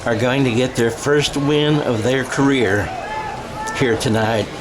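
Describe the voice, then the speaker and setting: A man's voice: mid-range voice, measured pace, background noise. A man doing radio-style sports play-by-play in a conversational way, as if thinking aloud. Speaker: play-by-play commentator. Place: high school football game. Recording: crowd and music behind. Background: stadium crowd and music.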